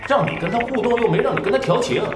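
A man's voice: very high voice, expressive, very fast, roomy sound, music in the background. A man playing a bossy, impatient young adviser in a Chinese audio drama. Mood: impatient, scolding.

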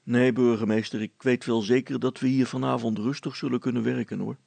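Man doing a plain-spoken storybook villager, good-natured, folksy, gravelly, husky. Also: medium pitch, flat delivery, fairly quick, a little mellow, no room sound. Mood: reassuring. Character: grumbling but good-natured village man, easily impressed. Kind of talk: acted storybook dialogue.

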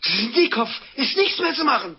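An actress playing a scolding, scornful, murderous innkeeper and blustering parent in a comic radio play. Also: female voice, high voice, big pitch swings, normal speed. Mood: menacing, mocking. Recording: dull, noisy vintage radio play.

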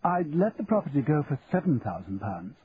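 A man puts on a pompous middle-aged auctioneer for a storybook reading, his voice raised high and prim. Every word is stiff and formal, and he is quick to take offence. The sound is narrow and tinny, with a faint hiss underneath. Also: very animated.

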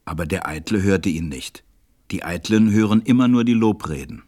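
Low-voiced man narrating a story, dry sound. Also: animated, moderate pace, dark tone, dry recording. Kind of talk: scripted storybook narration in a radio play.

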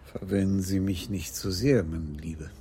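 An actor playing an elderly police commissioner in a radio play, courteous, dry and measured, with a gravelly, husky voice. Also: male voice, sits low, steady tone, dark tone, a little hiss or hum. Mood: gallant.

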